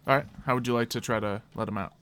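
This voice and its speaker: A man's voice: mid-range voice, fairly even intonation, racing, a bit bright, a little hiss or hum. A man working as game master, narrating a tabletop game in a casual, improvised way.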